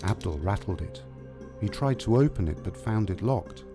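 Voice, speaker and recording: A man narrating a scripted tale as a storyteller, with measured, dramatic delivery. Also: fairly low pitch, lively intonation. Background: music.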